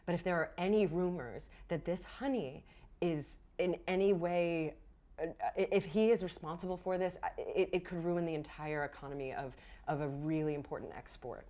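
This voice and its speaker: A woman's voice: fairly low pitch, normal speed, dark tone, close to an everyday voice. Woman playing an adamant, earnest fantasy queen. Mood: urgent, grave.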